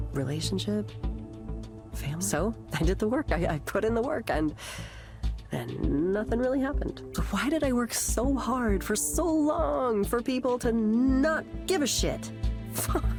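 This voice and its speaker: An actress playing a discouraged, anxious artist confiding her self-doubt in a visual novel, in a halting, confessional way. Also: fairly low pitch. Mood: weary, reflective. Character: discouraged, anxious young woman artist in therapy. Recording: game dialogue under clear music.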